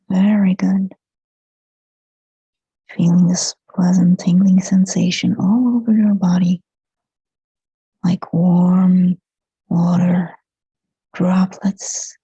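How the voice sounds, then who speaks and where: A woman leading a guided meditation in a soft, breathy whisper, speaking gently and soothingly in short phrases with long pauses between them. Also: lowish voice, no room sound. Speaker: hypnotherapist guiding a meditation. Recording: dull, muffled lo-fi sound.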